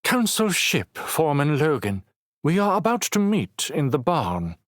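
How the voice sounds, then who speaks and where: A man's voice: fairly high pitch, wildly swooping pitch, unhurried, fairly bright tone, no room sound, clean studio sound, voice pushed up, full character voice. A man voicing a haughty, sneering councilwoman who speaks in a clipped, disdainful way.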